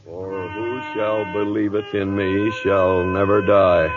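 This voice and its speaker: A man's voice: sits low, flat delivery, takes it slowly. An actor playing a weary, stern but fatherly aging cavalry captain in an old radio drama, terse and commanding. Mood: solemn. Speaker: lead actor and narrator. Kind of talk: 1940s-50s radio drama acting. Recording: dull old radio recording, music behind.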